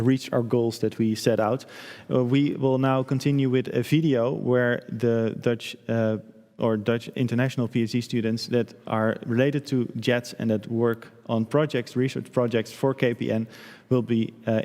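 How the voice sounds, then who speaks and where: Flat-voiced male moderator in a chapel. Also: mid-range voice. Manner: hesitant, many fillers. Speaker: event host, moderator. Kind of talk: moderating a livestream event. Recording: long reverb of a chapel.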